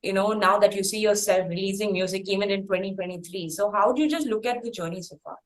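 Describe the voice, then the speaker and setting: A woman's voice: medium pitch, quick pace, reverberant. A woman with an Indian English accent hosting a conversational interview, talking in long, wordy, circling questions. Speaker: interviewer, podcast host.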